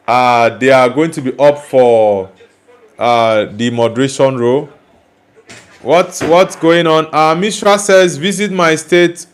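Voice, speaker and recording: A young man from Nigeria hosts a football live stream in a relaxed, chatty way. His voice sits a little higher than most men's. He reads out viewer comments and answers them directly, sometimes teasing, sometimes blunt. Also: smooth. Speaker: livestream host reading chat. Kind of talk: casual live chat.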